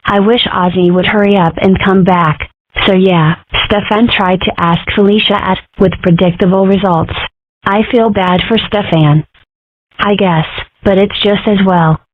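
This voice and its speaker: A woman's voice: mid-range voice, steady tone, a little slow, dry recording. A woman reading parts in a fan comic dub in a matter-of-fact, wry way. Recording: dry phone-like audio.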